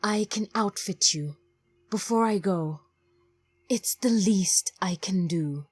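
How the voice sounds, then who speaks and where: A woman playing a grave, guilt-laden ancient stone mage in an audio drama, breathy and solemn in short, slow phrases. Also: mid-range voice, a little mellow. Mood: regretful.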